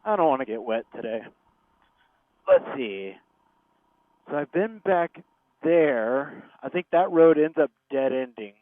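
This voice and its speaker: A man's voice: on the high side, expressive, unhurried, pauses often, no echo, narrow, tinny audio. Guy chatting on a bike helmet comm, radio-thin sound, very dry. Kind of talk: casual chat while riding. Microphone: motorcycle helmet intercom.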